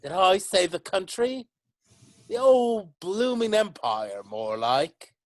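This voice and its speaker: A man's voice: high voice, sing-song, slow pace, dry recording, clean studio sound, full character voice. A man playing a cheerful, kindly London chimney sweep in an amateur musical read-through, folksy and reassuring. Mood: gentle, encouraging.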